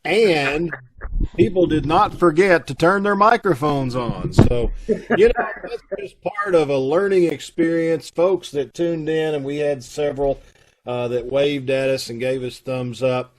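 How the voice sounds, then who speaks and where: A man's voice: fairly high pitch, measured pace, background noise. A man from a theater troupe giving announcements over a video call, talking in a plain, explanatory, folksy way. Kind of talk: casual announcements.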